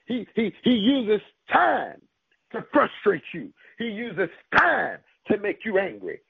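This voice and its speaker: A man preaching in a high, gravelly voice with a breathy, husky edge. He drives the sermon with call-and-response and recites scripture. The sound is dry and narrow, like a tinny radio broadcast. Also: sing-song, takes it slowly, no room sound.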